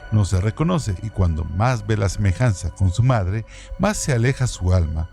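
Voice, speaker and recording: A man with a deep, gravelly voice reads a scripted literature feature in a measured, steady way. A clear music bed runs under his voice. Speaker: radio presenter. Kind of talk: scripted literary trivia narration. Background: background music.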